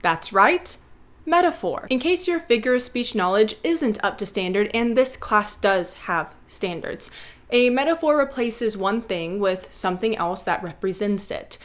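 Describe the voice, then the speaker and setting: A woman's voice: medium pitch, expressive, measured pace. A woman hosting a video essay, narrating a scripted lesson and playfully addressing viewers like a schoolteacher.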